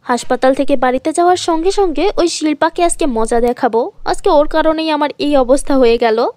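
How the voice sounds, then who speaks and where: A woman's voice: high-pitched, a little fast, a little mellow, dry recording, close to an everyday voice. A woman voicing a vain, spiteful young woman in a cartoon fairy tale, exclaiming and complaining. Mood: vengeful.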